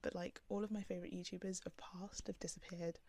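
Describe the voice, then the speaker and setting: Young woman vlogger, soft calming voice, lowish with some fry, loose filler-heavy chat. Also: a little fast. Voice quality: calm, soft voice. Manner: rambling, filler-heavy with many "like"s. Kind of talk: casual talk to camera. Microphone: camera on the speaker.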